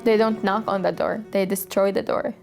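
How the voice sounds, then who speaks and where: A woman's voice: mid-range voice, steady tone, moderate pace, vocal fry, a little mellow. Reflective young woman recounting her past. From Syria. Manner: reflective and hesitant, frequent "you know" fillers. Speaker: interviewee. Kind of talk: documentary interview and personal testimony. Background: background music.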